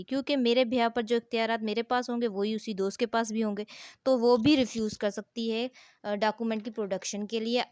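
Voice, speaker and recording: A woman's voice: a little high, darkish tone. A woman giving an explanatory lecture as a law teacher, reading formal text aloud and then explaining it didactically, rephrasing key points.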